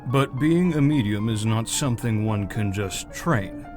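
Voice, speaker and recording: A man's voice: on the low side, lively intonation, unhurried, over music. A man playing a stern, curt government agency boss in a visual novel game, pedantic, formal and impatient.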